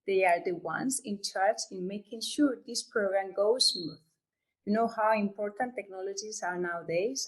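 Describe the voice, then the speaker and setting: A woman from Spain with a Spanish accent, hosting an online event over a video call, talking haltingly with many fillers and asking "okay?" as she goes. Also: medium pitch, poor audio. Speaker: event host. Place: home or office room.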